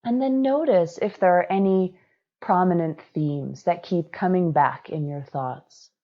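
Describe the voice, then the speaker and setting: A woman leading a guided meditation in a measured, instructive way, with inviting phrasing. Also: on the low side, highs cut off.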